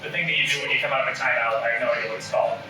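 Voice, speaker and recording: A man's voice: medium pitch, steady tone, racing. A man reading scripted stage directions as comedic narration at a live table read. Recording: very echoey room, distant mic, noisy.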